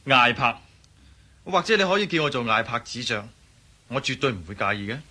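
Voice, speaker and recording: An actor playing a cocky French viscount and desert guide in a Cantonese radio drama, speaking Hong Kong Cantonese with a blunt, self-assured manner. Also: male voice, mid-range voice, sing-song, fairly quick, bright, forceful tone, husky, heavily acted.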